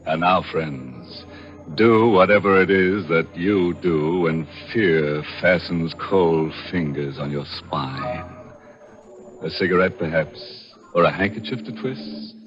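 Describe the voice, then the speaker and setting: Creepy jolly old-time radio horror host, really deep and drawn out, fake-caring, savors the grim stuff. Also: male voice, takes it slowly. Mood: ominous. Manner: mock-solicitous, macabre, savoring words. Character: ghoulish, darkly jovial male horror show host. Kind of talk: 1940s radio horror hosting. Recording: muffled vintage 1940s radio broadcast, organ music.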